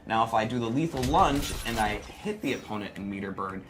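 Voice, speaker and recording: A man's voice: on the low side, animated, fairly quick, noisy background. A man giving chatty, informal live commentary as he demonstrates a fighting game character, with a playful self-deprecating streak. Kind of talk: live gameplay commentary, casual explaining.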